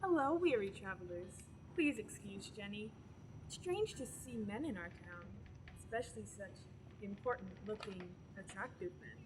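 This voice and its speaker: A woman's voice: mid-range voice, animated, normal speed, natural voice. A young woman acting a wary townswoman in a radio play, polite but curt and dismissive. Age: teenager. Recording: noisy background.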